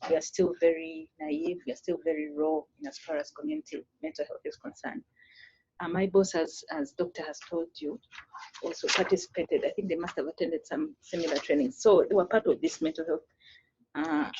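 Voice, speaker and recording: A mental health official speaking on a conference panel, haltingly, with many fillers and restarts. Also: mid-range voice, very animated, creaky, dark tone, highs cut off, a little hiss or hum.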